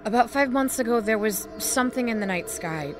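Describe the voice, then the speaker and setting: A woman's voice: fairly high pitch, lively intonation, fairly quick, music in the background, close to an everyday voice. An actress playing a wary, anxious woman who survived an alien invasion, in an audio drama. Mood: grave.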